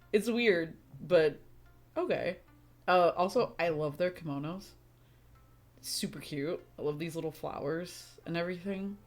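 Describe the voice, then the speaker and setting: A woman's voice: fairly low pitch, a little mellow. A woman casually reacting to a video game as a streamer, chatty and frank, with a bit of swearing.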